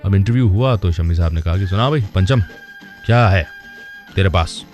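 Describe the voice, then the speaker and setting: A man's voice: deep voice, brisk, dull audio, over music. A man hosting a radio show in a conversational storytelling style, introducing old film songs and acting out quoted dialogue.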